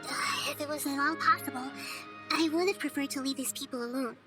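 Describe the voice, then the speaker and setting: A woman voicing a small, girlish goddess in a game cutscene, light and youthful, explaining things in a calm, thoughtful way. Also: sits high, brisk, lightly acted. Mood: gentle resolve. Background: music.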